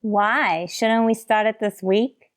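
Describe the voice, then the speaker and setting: A woman's voice: medium pitch, fairly even intonation, slow, no echo, natural voice. A woman playing a skeptical, sassy tavern wisecracker in an old-time radio comedy.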